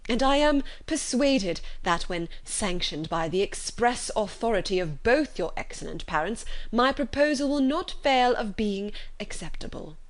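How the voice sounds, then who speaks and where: A woman's voice: on the high side, lively intonation, a little slow, few pauses, pitched above the performer's own voice. Lady doing a pompous self-satisfied young gent from an old novel, stiff, wordy, lilting. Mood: smug gallantry.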